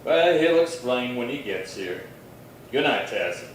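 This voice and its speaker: A steady radio-Western marshal played by a man speaks with measured gravity. He sounds far from the mic in a strongly echoing hall, reasoning firmly and persuasively. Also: medium pitch. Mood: easy, kindly. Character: steady, grave Old West lawman marshal. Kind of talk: Western radio drama acting. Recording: echoey hall, distant mic, faint noise.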